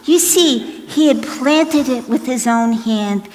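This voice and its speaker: A woman's voice: high voice, takes it slowly, a little mellow, big-room sound, slight background noise. A woman playing a brash, grumpy, wisecracking substitute storyteller in a comic children's skit. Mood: theatrical narrating. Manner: sassy, exasperated, theatrical reading.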